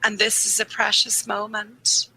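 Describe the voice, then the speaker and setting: A woman grief therapist speaking earnestly and candidly in a podcast interview, a little croaky, slowing down on the points that matter to her. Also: mid-range voice, completely flat delivery, sharp tone, poor audio. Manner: earnest, candid, laughs easily, slows on key phrases. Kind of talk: reflective conversational interview.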